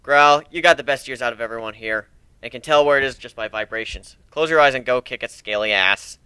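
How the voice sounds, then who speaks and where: A man's voice: mid-range voice, brisk, pushed and bright, clear, resonant tone, no room sound, close to an everyday voice, rough recording. A man voicing a brash, sarcastic, taunting and exuberant male griffin pirate captain in a dramatic story reading. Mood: commanding.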